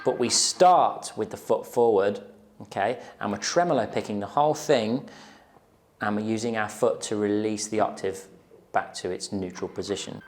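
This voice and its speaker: A man's voice: fairly low pitch, moderate pace, background noise. A young man giving a casual guitar lesson in a small indoor studio, explaining step by step on a lapel mic and counting beats aloud. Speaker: guitar teacher. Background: electric guitar playing.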